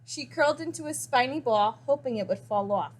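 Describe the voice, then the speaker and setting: A woman with a high voice reads a children's picture book aloud. Her delivery is expressive, leaning on key words and pausing for drama. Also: husky. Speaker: story reader.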